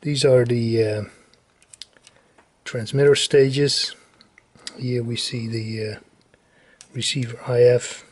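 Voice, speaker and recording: A man giving a casual technical show-and-tell as a radio restorer, halting and searching for his words as he explains. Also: mid-range voice, level tone, slightly rough. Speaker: radio restorer presenting a demonstration.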